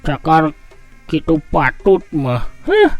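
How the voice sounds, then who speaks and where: A man voicing a mocking old male martial arts master who cackles and taunts in slow, drawn-out words. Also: on the high side, wildly swooping pitch, no room sound, soft background music, pitched above the performer's own voice, exaggerated voice. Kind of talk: storytelling with character voices.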